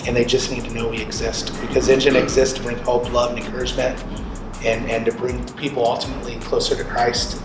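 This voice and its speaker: A man talks on a panel as a radio programmer, addressing his listeners directly. He drives points home by repeating them, in a mid-pitched voice. The room is echoey, with music clearly playing behind him. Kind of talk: panel talk giving advice to artists. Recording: loud music behind, roomy sound.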